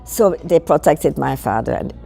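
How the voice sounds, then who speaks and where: Gravelly older Belgian woman with a French accent. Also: low-pitched, animated, dark tone, a little hiss or hum. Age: 80s. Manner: halting, repeats words while searching for English. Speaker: guest. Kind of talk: recounting childhood memories.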